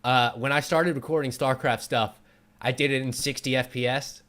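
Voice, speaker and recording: A man's voice: medium pitch, fairly even intonation, moderate pace, fairly bright tone. A man hosting a casual conversational interview show. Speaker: host. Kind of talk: casual chat.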